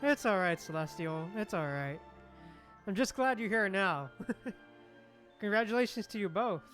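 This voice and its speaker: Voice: mid-range voice, lively intonation, unhurried, fairly bright tone, faint music behind. A streamer giving casual, chatty live commentary on a game and speaking directly to viewers. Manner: chatty, addresses viewers by name.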